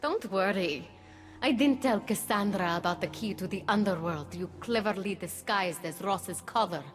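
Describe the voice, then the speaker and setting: An actress voicing a sly, sardonic, scheming goddess in a mythic video game. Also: female voice, mid-range voice, normal speed, close to an everyday voice. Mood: smug. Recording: long reverb, faint music behind.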